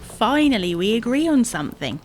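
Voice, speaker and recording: An actress playing a bossy, exasperated, teasing wife in a domestic comedy audio drama, brisk and dismissive. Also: female voice, on the high side, sing-song, darkish tone, slight background noise.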